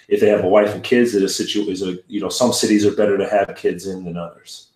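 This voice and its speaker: A male podcast host who is also a high school coach, asking long rambling questions with many restarts. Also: flat delivery, fast talker, darkish tone, echoey room, poor audio. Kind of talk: podcast interview.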